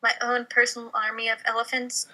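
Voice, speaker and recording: A woman's voice: fairly high pitch, monotone, a little fast, pushed and bright, low-quality recording. A woman reading lines at a casual comedy table read, informal and self-deprecating. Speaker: podcast cast member reading a script.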